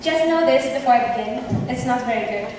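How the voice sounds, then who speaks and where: A woman's voice: on the high side, fairly quick, close to an everyday voice. A woman acting male roles in an amateur school production of a modernized Shakespeare comedy. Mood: modest. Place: large echoey indoor hall. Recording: very echoey hall from far off, hissy.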